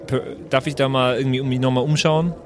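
A man's voice: medium pitch, steady tone, fast, a crowd in the background. A man chatting casually as a player in an improvised tabletop game, rambling and repeating his words.

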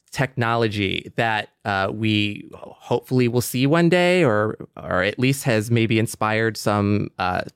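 A man co-hosting a podcast, chatting with enthusiasm and speeding up when he gets excited. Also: fairly low pitch, clean tone, dry recording, clean studio sound. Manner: enthusiastic, fast when excited, frequent "mm-hmm". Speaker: podcast co-host. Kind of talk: casual chat.

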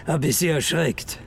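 An actress playing an unsettling, probing superior with a doctorate and a creaky voice in an audio drama. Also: female voice, deep voice, exaggerated voice. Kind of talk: scripted audio drama acting.

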